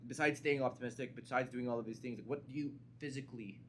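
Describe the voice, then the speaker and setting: A man hosting a relaxed interview, his voice sitting at an ordinary middle-low pitch. He talks in a chatty, rambling way and laughs easily. A faint background noise runs under the recording. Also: quick pace. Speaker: host, interviewer. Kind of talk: casual interview.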